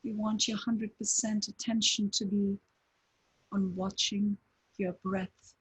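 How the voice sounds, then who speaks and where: A woman leading a guided breathing meditation, giving slow, calm, gentle instructions with long pauses. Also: medium pitch, husky, poor audio. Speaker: meditation teacher.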